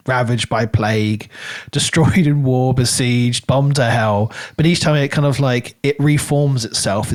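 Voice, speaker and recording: A man co-hosting a podcast, talking through a book in a casual, conversational and rambling way. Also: mid-range voice. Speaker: podcast co-host reviewing a book.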